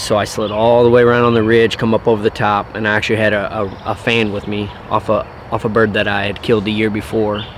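A man's voice: fairly low pitch, slight background noise. A young man, casually recapping a turkey hunt outdoors, rambling and repeating words as he goes. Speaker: turkey hunter. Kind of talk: casual hunting vlog recap. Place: outdoors by woods.

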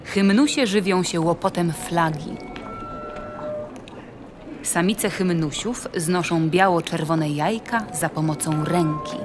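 A woman narrates an audio play as if reading from a storybook. She speaks slowly and deliberately in a slightly low voice, with music clearly playing behind her.

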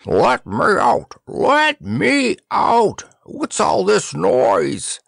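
A man's voice: high-pitched, wildly swooping pitch, very slow, gravelly, fairly bright tone, slightly rough, dry recording, clean studio sound, voice pushed up, heavily acted. A man voicing the drowsy, gracious severed head of a storybook king, drawling in a courtly manner. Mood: indignant.

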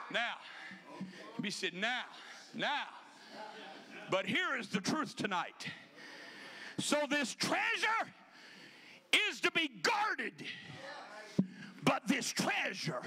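A man in his fifties preaching a sermon in a church sanctuary, emphatic and often shouting, with quick joking asides. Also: extremely high pitch, huge pitch swings, a crowd in the background. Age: 50s. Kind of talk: energetic Pentecostal sermon. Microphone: handheld microphone.

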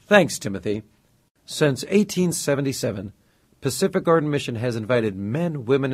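A man's voice: medium pitch, darkish tone, no echo. A man giving a scripted radio appeal as the president of a mission.

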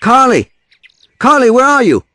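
A man's voice: very high voice, very animated, normal speed, pushed and bright, faint music behind, exaggerated voice. A man playing a harried, defensive divorced father in an audio drama, weary and impatient. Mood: exasperated. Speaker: voice actor.

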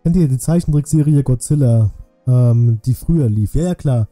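Very dark-voiced man commentating a game. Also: medium pitch, moderate pace, no echo. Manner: thinks aloud, chatty asides. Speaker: streamer. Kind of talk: casual live game commentary with chat. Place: indoor room, streaming setup. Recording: music playing faintly behind. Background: game music.

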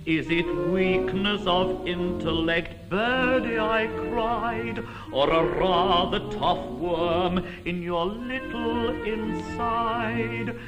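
Man playing lovesick comic suitor, operetta singer, mournful ballad, high voice, orchestra behind. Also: over loud music. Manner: sings a mournful comic ballad and pleads in rapid patter. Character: timid, lovesick comic man wooing a fierce lady. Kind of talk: comic operetta singing and acting.

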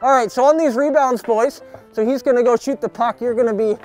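A young man outdoors giving a jokey sports tutorial, coaching in a mock-serious, deadpan way full of hockey slang. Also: very high-pitched, a little fast, rough recording. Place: backyard, outdoors. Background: music.

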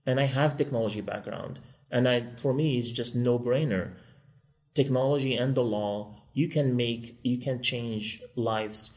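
A man from Morocco, a law school guest, speaking quickly and earnestly in a podcast interview. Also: mid-range voice, darkish tone.

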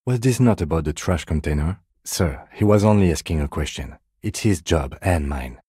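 An actor playing a calm, dutiful middle-aged police detective in a video game, with a measured, deadpan, politely formal delivery. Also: male voice, rumbling low, slightly rough, dry recording, clean studio sound, exaggerated voice. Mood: measured, dry. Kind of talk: scripted game character dialogue.

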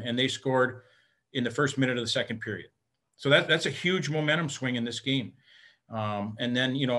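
A middle-aged man, a hockey head coach, answering postgame questions frankly over a video call, with halting restarts and many fillers. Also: mid-range voice, fairly bright tone. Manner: plain-spoken, frank. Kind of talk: postgame press conference answers. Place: indoor room in front of team backdrop. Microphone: webcam on video call.

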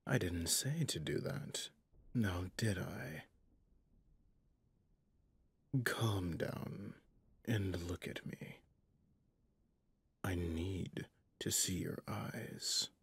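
A man's voice: deep voice, exaggerated voice. A man playing a stern, menacing, centuries-old vampire hunter in an ASMR roleplay, commanding and often dropping to a whisper. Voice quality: husky, breathy, gravelly, often dropping to a whisper. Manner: slow, commanding, interrogating.

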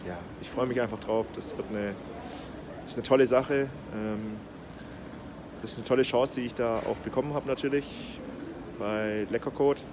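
Guy in his 30s, selfie vlog at the airport, lots of ums, loud hall noise behind. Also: medium pitch, fairly even intonation, moderate pace. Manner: hesitant, many fillers, upbeat. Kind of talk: casual vlog talk to camera. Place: airport terminal, indoors. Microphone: phone camera at arm's length. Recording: noisy airport ambience.

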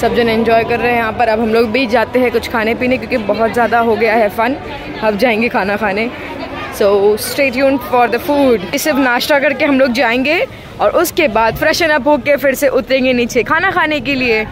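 A woman's voice: a little high, moderate pace. Chatty woman vlogging in a crowd. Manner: chatty, excited exclamations. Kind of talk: casual travel vlog narration. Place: outdoors at a crowded beach at night. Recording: crowd noise behind.

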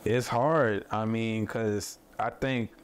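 A man who makes mental health content, talking thoughtfully in a relaxed conversation, with many fillers and restarts. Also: lowish voice, slow, a little hiss or hum. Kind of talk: casual conversation. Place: studio set with a table.

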